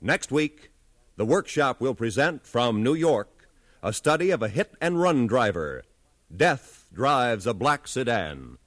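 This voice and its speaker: Animated man announcing on 1950s radio. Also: medium pitch, slow, dry recording. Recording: 1950s radio broadcast recording.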